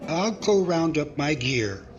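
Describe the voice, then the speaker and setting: A man's voice: fairly high pitch, big pitch swings, slow pace, highs cut off, music in the background. A man playing an earnest, confident cowboy in a 1940s-style radio comedy. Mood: businesslike.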